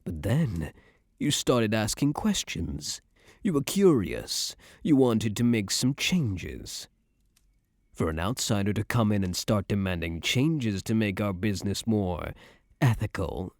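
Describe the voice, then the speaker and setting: A low, close and dry voice of a young mafia heir, acted by a man in an ASMR roleplay. He is tough and self-assured, yet tender with his partner. His slangy, affectionate talk rises and falls expressively. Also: a little mellow, dry recording, full character voice. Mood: admiring. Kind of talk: ASMR boyfriend roleplay, intimate scripted monologue.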